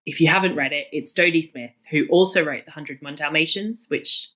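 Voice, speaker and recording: A woman in her thirties with a low-pitched voice, talking as a guest in a conversational interview. She is effusive and leans on repeated words to press her point. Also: a little fast, a bit bright. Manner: effusive, emphatic, often repeats words for emphasis. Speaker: guest, cookbook author.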